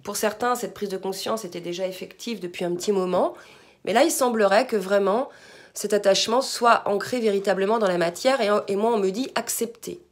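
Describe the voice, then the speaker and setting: A hoarse woman giving a spiritual card reading to her viewers, soft-spoken, sometimes murmuring requests to her guides. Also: mid-range voice. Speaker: tarot card reader.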